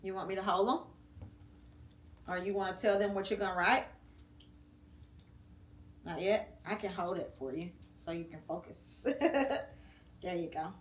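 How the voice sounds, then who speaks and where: A woman reads a storybook aloud at a slow, unhurried pace, gently prompting her child between pages. Her voice sits at an ordinary pitch. It carries a noticeable room echo from the home setting. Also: fairly even intonation. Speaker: parent reading a picture book aloud with her child. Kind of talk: read-aloud storytime and casual chat.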